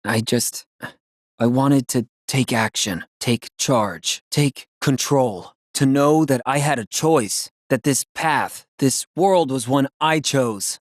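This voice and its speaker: A man's voice: mid-range voice, takes it slowly, no echo, studio quality, natural voice. A man playing a brave, cocky young soldier hero in a video game, casual and earnest, loyal and defiant. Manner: casual, wisecracking, earnest, expressive.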